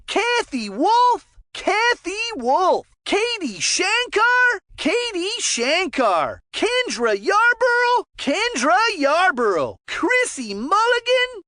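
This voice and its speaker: Very high, swooping cartoon boy announcer. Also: pushed and bright, no echo, studio quality, exaggerated voice. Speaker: sports game commentator. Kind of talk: video game announcer calling out player names.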